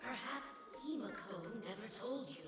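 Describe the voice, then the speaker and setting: A woman's voice: mid-range voice, animated, distant mic, lightly acted. An actress voicing a wary, formal female guardian at a school in a game. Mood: guarded. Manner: formal, measured, cautious. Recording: echoey game audio with reverb and clear music.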